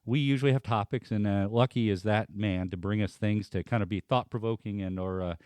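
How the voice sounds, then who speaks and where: Low-voiced man hosting with a music bed. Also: fairly even intonation, a little fast, darkish tone, smooth, no room sound. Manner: rambling, many fillers and restarts. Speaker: host. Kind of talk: casual radio talk show chat. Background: faint band music.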